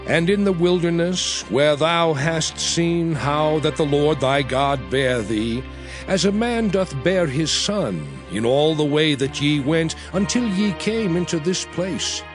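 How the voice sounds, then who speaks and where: Solemn elder prophet, declamatory scripture drama, slow with sweeping pitch over prominent music. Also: male voice. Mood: earnest reassurance. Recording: music behind the voice.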